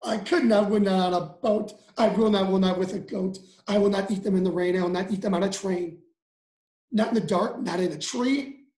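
A man's voice: sits high, steady tone, moderate pace, darkish tone, close to an everyday voice. Storybook role: grumpy, stubborn creature performed by a man, exasperated, emphatic rhymed delivery, roomy sound.

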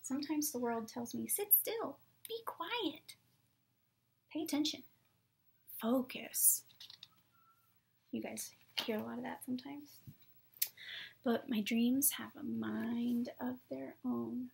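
A young adult woman reading picture books to children at story time in a soft, hushed, breathy manner. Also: a little high, slow pace, long pauses. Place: small room at home, indoors.

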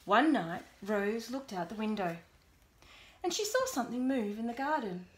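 A woman reading a bedtime storybook aloud to a young child in an expressive, deliberate way, pausing to prompt. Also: on the high side. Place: small bedroom at home. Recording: roomy echo, background noise, clicks.

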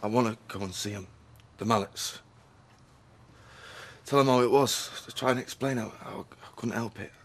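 A man's voice: mid-range voice, moderate pace, a little hiss or hum, close to an everyday voice. An actor playing a shaken young man, stammering in distress. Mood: distraught. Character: shaken young man who caused a fatal accident.

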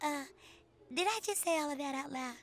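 A woman voicing an excitable preteen best friend in a cartoon, gushing in teen slang. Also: high-pitched, brisk. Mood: breathless excitement. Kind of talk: animated series dialogue.

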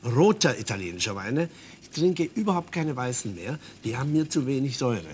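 A man's voice: medium pitch, big pitch swings, darkish tone, slight background noise. An older man hosting a cooking show, chatting casually and eagerly with his guest while he cooks in a studio kitchen. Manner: chatty, enthusiastic, quick interjections.

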